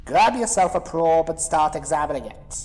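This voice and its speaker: A man's voice: fairly high pitch, lively intonation, moderate pace, a little mellow, lightly acted. A man playing a strict, cautious starship chief in a sci-fi audio drama, curt and precise in speech.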